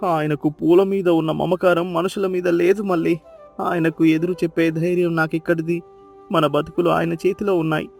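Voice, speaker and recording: A man plays a poor, humble villager with a notably high voice. He sounds deferential and worried, pleading in a moral-story cartoon over light music. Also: little pitch movement, moderate pace, creaky, a little mellow. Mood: sorrowful, fearful. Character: humble, anxious poor village servant or farmer.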